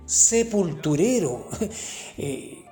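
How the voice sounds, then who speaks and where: A woman's voice: lowish voice, very animated, very slow, dark tone, faint music behind. A woman hosting a mock talk-show interview, mock-formal and full of hesitant fillers. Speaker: host.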